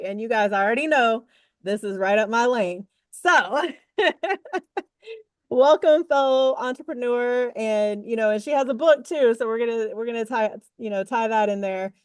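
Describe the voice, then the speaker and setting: A woman's voice: fairly high pitch, no room sound, clean studio sound. A woman hosting a podcast interview, talking in an upbeat, chatty way with frequent laughs and fillers. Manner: upbeat and chatty, laughs often, lots of fillers like "you know". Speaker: podcast host. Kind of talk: casual interview chat.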